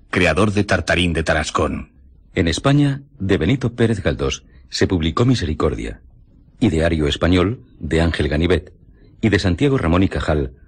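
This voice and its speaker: Husky low-voiced man, radio announcer. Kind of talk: scripted announcer narration of historical facts.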